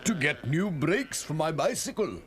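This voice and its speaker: An absent-minded, pious English vicar from a children's cartoon, voiced by a man. The voice is high and animated, exclaiming and dithery, over clear background noise. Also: normal speed. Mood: flustered relief. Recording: animal and vehicle noise behind.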